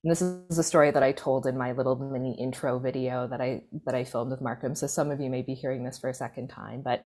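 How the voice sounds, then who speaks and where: A young Canadian woman speaking on a conference panel, measured and earnest, with deliberate phrasing. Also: rumbling low, fairly even intonation, mellow, faint music behind.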